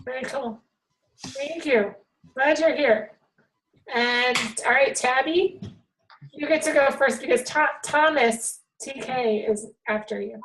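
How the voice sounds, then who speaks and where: Upbeat woman host, high voice, leads a casual group call. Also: takes it slowly, noisy background. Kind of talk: casual video chat.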